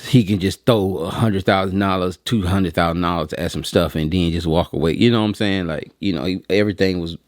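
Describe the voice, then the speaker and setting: A man with a low voice speaks in a dry-sounding space, as if swapping stories with friends. His delivery is informal and meandering, full of slang, and he voices both sides of remembered conversations. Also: brisk, hardly any pauses, no echo. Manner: slangy, conversational, acts out quoted dialogue. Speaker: print shop owner telling a story to viewers. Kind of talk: casual rambling storytelling vlog.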